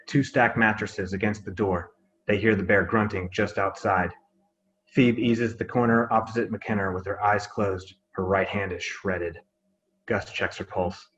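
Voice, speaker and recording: A man's voice: fairly low pitch, fairly bright tone, lo-fi sound. A man reading out scripted stage directions as narrator of a drama table read.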